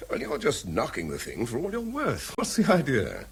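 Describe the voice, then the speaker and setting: A man's voice: mid-range voice, wildly swooping pitch, normal speed, a little hiss or hum. An actor playing an evasive British office politician in a radio drama, chummy and condescending, hesitating as he placates. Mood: uneasy reproach. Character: smooth-talking, evasive male office politician, patronisingly chummy.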